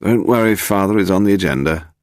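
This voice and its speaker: Man acting a languid, charming wastrel, low-pitched. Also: brisk, no echo, studio quality, lightly acted. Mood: teasing.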